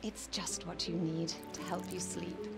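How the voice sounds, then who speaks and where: A woman acting a gracious, kind medieval lady in a video game cutscene, gentle and genteel in manner. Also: on the low side, fairly even intonation, unhurried, creaky. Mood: soft, intimate. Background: music.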